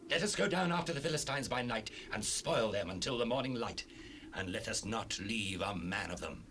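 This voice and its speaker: Casting: stern biblical king, low regal voice, declamatory scripture drama, faint tape noise behind. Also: expressive, moderate pace, pushed and bright. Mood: rallying. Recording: noisy cassette rip, ambient sound.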